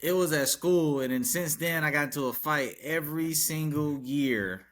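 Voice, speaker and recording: A man from Arkansas talking as a podcast guest, relaxed and informal, with a habit of saying "yes, sir" and chuckling between stories. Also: a little high, normal speed. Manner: laid-back street talk, repeats "yes, sir", chuckles often. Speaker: podcast guest, tattoo artist and rapper. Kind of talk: casual interview chat.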